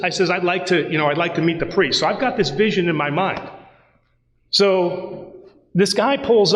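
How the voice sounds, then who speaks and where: A man preaching a sermon as a priest, telling a personal story in a lively, conversational way and acting out the dialogue. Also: sits high. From United States. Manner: animated, conversational, acts out dialogue. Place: church sanctuary. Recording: roomy church with long reverb.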